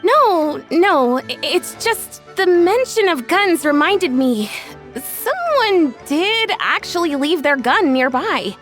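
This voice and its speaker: A bubbly young inventor in a high, lively voice. She sounds perky and earnest, like game dialogue, with soft music behind her. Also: slow pace, full character voice. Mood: earnest, explaining. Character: bubbly young female inventor, proud, enterprising, polite. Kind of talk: video game voice acting.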